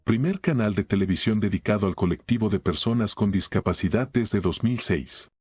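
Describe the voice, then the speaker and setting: Deep slow channel announcer. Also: lively intonation, husky. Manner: measured, formal. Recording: voice-over under loud music.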